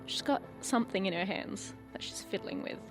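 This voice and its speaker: A woman playing a tabletop role-playing game, describing her character in halting, thoughtful phrases, with music clearly behind her. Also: medium pitch, lively intonation.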